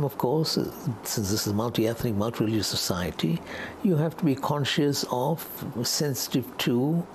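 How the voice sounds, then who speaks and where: An older man giving measured, halting explanations as an interviewed political analyst. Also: medium pitch, creaky, a little mellow, slight background noise. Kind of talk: unscripted interview commentary.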